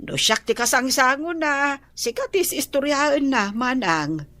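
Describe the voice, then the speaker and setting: A woman's voice: high-pitched, lively intonation, unhurried, bright, forceful tone, no room sound, exaggerated voice. A woman playing a gossipy Ilocano neighbor. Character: gossipy, stingy neighbor woman, middle-aged. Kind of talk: radio drama acting.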